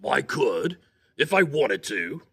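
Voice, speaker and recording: A man voicing a big, proud male bear who speaks gruffly and slowly, grumbling, in a told story. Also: medium pitch, sing-song, bright, forceful tone, full character voice. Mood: boastful. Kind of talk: oral storytelling.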